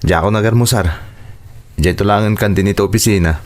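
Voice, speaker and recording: A man's voice: fairly low pitch, fast, close to an everyday voice. A man playing a blunt, grumbling man of the household in an Ilocano radio drama, speaking emphatically. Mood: dismissive.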